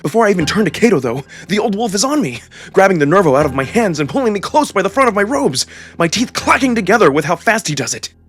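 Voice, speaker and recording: A man's voice: high voice, sing-song, quick pace, a bit bright, a little hiss or hum. A man narrating a visual novel in the first person, theatrical and immersed in the story. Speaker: narrator and voice performer. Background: music under the voice.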